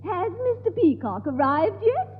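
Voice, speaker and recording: A woman's voice: very high voice, big pitch swings, moderate pace, over loud music. An actress playing a pompous, prim, self-important English society lady with a haughty, formal manner in a 1960s radio sitcom. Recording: tinny hissy 1960s radio, echoey hall.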